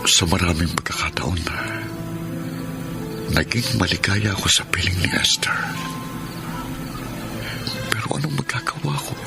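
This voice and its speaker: Casting: tender, conflicted young Filipino lover for radio drama, low voice, strong music underneath. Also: male voice, animated, a little slow, creaky, long pauses, full character voice. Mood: wistful confession. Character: tender young male student, lover torn between two women. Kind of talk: Filipino radio drama acting and first-person narration. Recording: loud music bed behind the voice.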